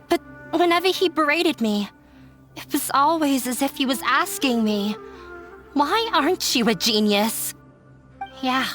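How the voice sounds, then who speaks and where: An actress voicing a young woman who ran away from a demanding father, speaking in a quiet, resigned way. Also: high-pitched, exaggerated voice. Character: young woman, runaway daughter, hurt and weary. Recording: game audio with faint music.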